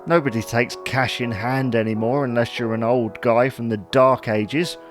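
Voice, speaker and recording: A man's voice: mid-range voice, fairly even intonation, normal speed, soft background music, lightly acted. A man playing a teasing, sceptical husband in a comedy audio drama, wry and reasoning. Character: teasing, sceptical husband, cautious about money.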